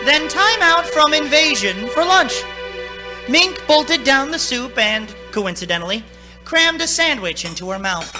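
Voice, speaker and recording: An actress playing the storytelling narrator of a radio play, theatrical and expressive. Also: female voice, sits high, a little slow, over music. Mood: wry, lively. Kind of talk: scripted radio drama narration.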